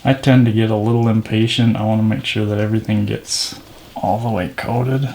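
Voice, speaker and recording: A man's voice: lowish voice, steady tone, brisk, mellow. A man chatting casually as he works, informal and laid-back in his wording. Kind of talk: casual chat while working. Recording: echoey room, clearly noisy.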